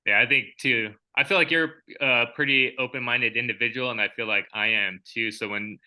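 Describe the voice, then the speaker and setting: Bright-voiced man musing casually. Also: mid-range voice, steady tone, moderate pace, rough recording. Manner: reflective, rambling, many fillers. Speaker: podcast co-host. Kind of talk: casual chat.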